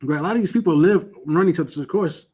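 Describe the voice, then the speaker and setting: A man's voice: on the high side, fast, mellow, slightly rough. A man teaching an informal online Bible lesson in a conversational, emphatic way, often asking "you know what I'm saying" and repeating points for stress. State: starting to lose his voice.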